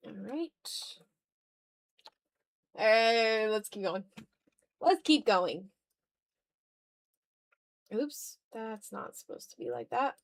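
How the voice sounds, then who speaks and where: A woman's voice: on the high side, expressive, drawn-out words, pauses often. Woman chatting casually on an art stream. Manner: slangy, playful, talks to herself and viewers. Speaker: streamer, digital artist. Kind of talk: casual livestream chat while drawing.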